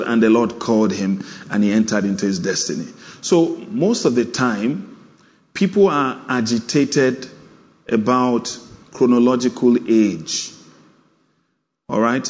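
A man's voice: medium pitch, darkish tone. A male preacher giving a sermon, punctuating it with "Amen" and "All right" to draw responses from the congregation. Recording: dull sound in a long-reverb hall.

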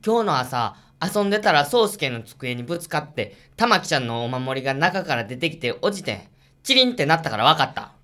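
A woman's voice: sits low, very animated, fairly quick, a bit bright. A woman playing an accusing, blunt young schoolboy with a Kansai accent in a radio drama.

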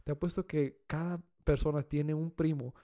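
A man's voice: fairly high pitch, fairly even intonation, normal speed, dark tone. A man from Austin whose first language is Spanish, chatting casually and switching between Spanish and English. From Austin, Texas. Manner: mixes Spanish and English constantly, says "you know what I'm saying". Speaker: podcast guest. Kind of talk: casual chat.

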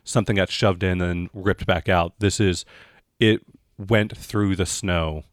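Lower-voiced man narrating as game master. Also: steady tone, unhurried, no echo, clean studio sound. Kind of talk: improvised descriptive game narration.

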